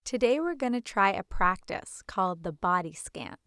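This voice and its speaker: A woman leading a guided meditation as instructor, with a gentle, soothing, unhurried manner. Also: mid-range voice, expressive, smooth, no room sound, clean studio sound.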